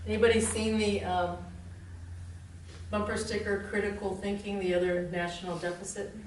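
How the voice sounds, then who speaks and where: A woman teacher speaks casually to a gathered group, self-deprecating and quick to laugh. Her voice carries from a distance across a large, very echoey room. A faint murmur of room ambience sits underneath. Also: mid-range voice, flat delivery, slight background noise. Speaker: spiritual teacher. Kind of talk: informal dharma talk to a group.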